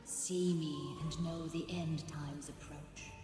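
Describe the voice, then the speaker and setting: A resolute celestial goddess makes solemn, portentous game proclamations. Her voice is fairly low and very mellow, with long echoing reverb around it. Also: fairly even intonation, slow. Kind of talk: scripted game voice lines. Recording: echoey long reverb over faint music.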